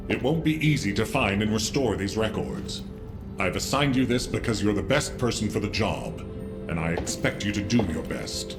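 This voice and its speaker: A male actor as a low-voiced commander who speaks in a measured, formal way with quiet care under his authority. It is video game cutscene acting, with music clearly playing behind the voice. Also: lightly acted. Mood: firm.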